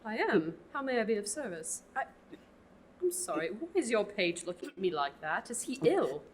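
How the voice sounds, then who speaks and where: A teenage actor playing an exasperated, theatrical abbess eager to be rid of a pesky oblate. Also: female voice, mid-range voice, very animated, normal speed, a little hiss or hum, lightly acted. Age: teens. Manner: sighing and theatrical. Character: exasperated, fussy middle-aged abbess nun. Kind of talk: student radio play.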